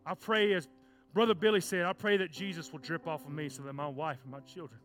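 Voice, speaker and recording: A man preaches in a church sanctuary, his voice sitting a little high. He delivers the sermon with loud, fervent passion, then eases into the closing prayer. Soft guitar music plays clearly underneath him. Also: steady tone, brisk. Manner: fervent shouting preacher, stomps and cries. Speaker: children's pastor.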